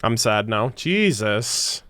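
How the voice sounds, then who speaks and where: A woman streaming a video game with casual, expressive, slangy and sweary reaction commentary. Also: very deep voice, takes it slowly, slight background noise. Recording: game music behind the voice.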